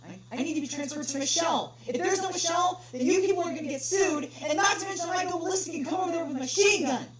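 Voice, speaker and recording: A woman voicing a furious male customer on a support call, ranting in a shrill shout. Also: expressive, fast talker, few pauses, distant mic, a crowd in the background. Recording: echoey room with noisy hum.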